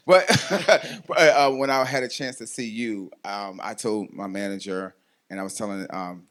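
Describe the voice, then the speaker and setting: A man's voice: fairly low pitch, a little slow, a bit bright. A man in his forties hosting a talk show, rambling with many ums and laughing often. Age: 40s. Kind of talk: casual chat interview.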